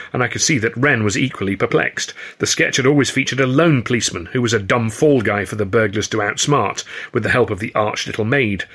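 A male narrator reads a novel with an expressive storyteller's delivery. His voice is bright and forward, with a husky edge, at an ordinary male pitch. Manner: expressive storytelling with acted dialogue. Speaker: author reading own novel. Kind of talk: scripted first-person audiobook narration.

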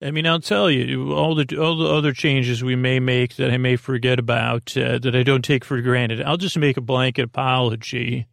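A man hosting a sleep podcast in a gentle, reassuring, hesitant way, rambling through digressions and half-restarted sentences. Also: medium pitch, level tone, moderate pace, creaky, hardly any pauses, dry recording, clean studio sound. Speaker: host of a bedtime sleep podcast. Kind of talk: rambling, meandering monologue to lull listeners to sleep.

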